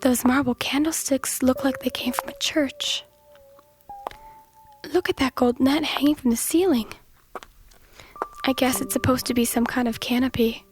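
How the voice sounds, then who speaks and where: A woman playing a brave, curious young woman in an audio drama, thinking aloud in hushed, breathy tones. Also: high voice, a little hiss or hum. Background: music.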